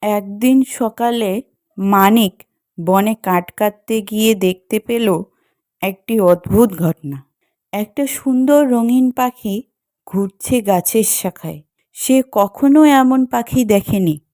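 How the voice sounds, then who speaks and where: A woman's voice: medium pitch, slow, mellow, dry recording. A woman reading scripted fairy-tale narration as the storyteller of a cartoon. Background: music.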